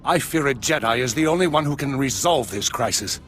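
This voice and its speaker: An actor playing an anxious, pleading diplomatic minister in a video game, agitated and dramatic. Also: male voice, mid-range voice, animated, brisk, a bit bright, faint music behind, lightly acted. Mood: anxious urgency. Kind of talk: video game character dialogue.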